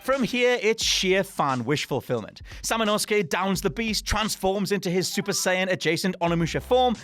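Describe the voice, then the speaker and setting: A man's voice: high-pitched, fairly bright tone. A man presenting a scripted countdown list as a narrator, with an emphatic, dramatic delivery. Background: music under the voice.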